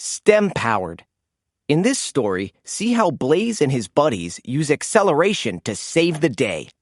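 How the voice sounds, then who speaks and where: Slow, very animated storybook narrator. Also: medium pitch, no room sound, studio quality. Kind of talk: animated children's read-aloud narration.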